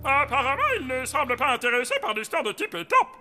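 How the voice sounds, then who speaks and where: High, wildly swooping old cartoon creature. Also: male voice, sing-song, fast talker, in a large hall, loud music behind the voice. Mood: excited. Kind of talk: cartoon dubbing.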